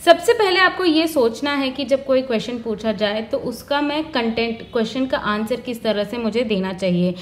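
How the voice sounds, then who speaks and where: A woman with a high voice gives career advice to her viewers. She talks in an earnest, instructive way and lays out her points one after another. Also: animated, moderate pace, hardly any pauses. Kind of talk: explanatory advice talk.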